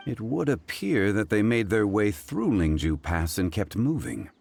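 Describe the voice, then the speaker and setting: A man voicing a composed, erudite gentleman in a video game, speaking in a formal, deliberate way. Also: lowish voice, very animated, gravelly, slight background noise, full character voice. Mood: calm, pondering. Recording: music behind the voice. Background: game music.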